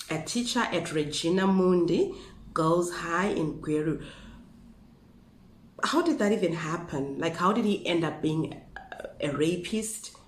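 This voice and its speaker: Low hoarse zimbabwean lady with a flu, chatty crime storytime, echoey little room. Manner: chatty, asides and rhetorical questions. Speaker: true crime vlogger. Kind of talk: casual true crime storytelling. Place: small room indoors.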